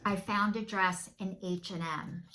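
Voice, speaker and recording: A woman's voice: medium pitch, fairly even intonation, a little slow, bright, forceful tone, poor audio. Chatty, candid woman in her fifties. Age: 50s. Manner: candid, chatty, self-deprecating. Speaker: vlogger. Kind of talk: casual chat to camera. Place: at home.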